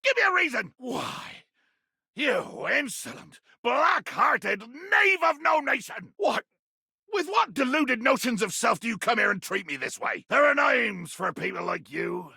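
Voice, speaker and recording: An actor playing a tiny, fierce, pompous pirate captain in a video game, with a raspy, gravelly voice and grandiloquent, blustering delivery. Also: male voice, extremely high pitch, very animated, a little slow, bright, forceful tone, no room sound, clean studio sound, exaggerated voice. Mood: furious, threatening. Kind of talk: theatrical video game character acting.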